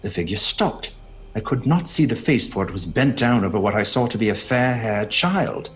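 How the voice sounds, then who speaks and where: An actor playing a sceptical, fearful doctor in a gothic audio drama, speaking in an earnest, dramatic way. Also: male voice, lowish voice, expressive, moderate pace, natural voice. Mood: haunted. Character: haunted male doctor, sceptical and fearful, recounting horrors. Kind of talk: gothic audio drama diary narration and dialogue. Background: music.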